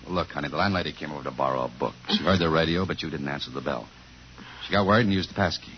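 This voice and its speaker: An actor playing a tough, dogged police detective in a 1950s radio thriller, speaking in clipped, insistent lines. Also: male voice, rumbling low, racing, a little hiss or hum. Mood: grave, probing. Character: tough, dogged male police detective defending his wife. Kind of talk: 1950s radio thriller acting, hard-boiled narration. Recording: muffled vintage radio recording with music.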